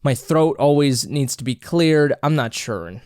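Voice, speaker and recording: Congested male podcast host, mid pitch, rambling casual chat, dry close mic. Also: expressive, measured pace, a little mellow, smooth, no room sound. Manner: rambling, hesitant, many restarts and fillers. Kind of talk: casual solo chat while snacking. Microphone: own camera and mic, close and dry.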